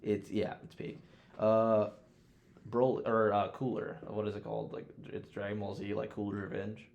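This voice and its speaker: A man talking casually as a podcast host, blunt and quick to swear as he gives his opinions. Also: fairly low pitch, little pitch movement, gravelly, a little mellow, highs cut off. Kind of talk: casual chat, ranking films.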